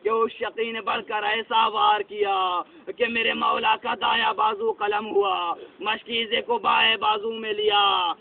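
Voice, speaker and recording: A man reciting a lamenting religious narration, shouting and wailing with deep feeling. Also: sing-song, creaky, hardly any pauses. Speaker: majlis reciter. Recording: tinny phone-like with faint noise.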